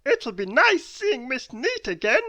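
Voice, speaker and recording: A woman's voice: high-pitched, very animated, a little slow, no echo, voice pushed up, exaggerated voice. A woman voicing a cheerful, muddled male storybook character who likes to exclaim. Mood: chuckling, content. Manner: exclaiming, calling out loudly.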